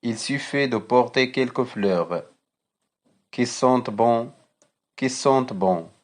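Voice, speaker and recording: A man reciting a children's poem for a school lesson, deliberately and with measured phrasing, as a teacher would. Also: mid-range voice.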